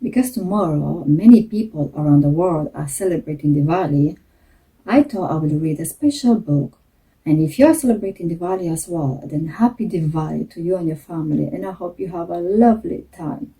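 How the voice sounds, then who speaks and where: Animated woman reading a children's storybook. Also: on the low side, moderate pace, breathy edge, poor audio. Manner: expressive, addressing young listeners directly. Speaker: host reading children's books aloud. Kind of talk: read-aloud storybook narration for children.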